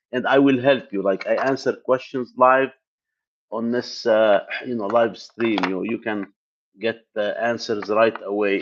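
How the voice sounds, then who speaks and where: A man from Lebanon, a native Arabic speaker, hosting a tech channel live stream, chatting casually to viewers with many fillers and restarts. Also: mid-range voice. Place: home in the US Midwest. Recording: dull lo-fi live stream audio.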